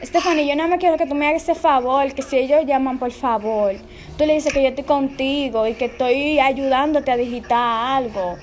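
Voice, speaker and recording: A woman's voice: high-pitched, moderate pace, a little hiss or hum. A young woman on a casual phone call, sounding nervous and pleading. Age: young adult. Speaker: prank caller, vlogger. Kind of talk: casual phone chat, feigning nervous worry. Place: indoors in a bare-walled room.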